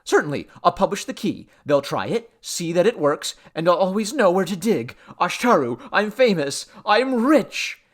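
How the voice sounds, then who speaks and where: Man acting exultant scholar, male, high swooping voice, eager, exclamatory, audiobook fantasy tale. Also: huge pitch swings, unhurried, hardly any pauses, sharp tone, heavily acted. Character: excitable, exultant male archaeologist in love.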